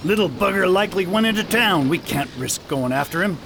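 An actor playing a wary, rough pirate crewman in an audio drama. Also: sits high, expressive, a little fast, background noise.

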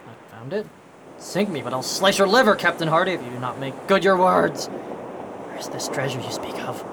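An actor playing a ruthless, mocking pirate captain in a swaggering audio drama style. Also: male voice, normal speed. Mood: menacing. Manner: swaggering, mock-courteous, barking orders. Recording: noisy audio with steady rumble.

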